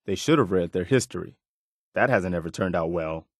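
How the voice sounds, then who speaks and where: An earnest wasteland militia lieutenant with a lowish male voice, recorded close and dry. He speaks plainly and with principle, like a dutiful soldier in a video game. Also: animated, fairly quick, breathy edge, no echo, exaggerated voice. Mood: steadfast. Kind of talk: video game character dialogue.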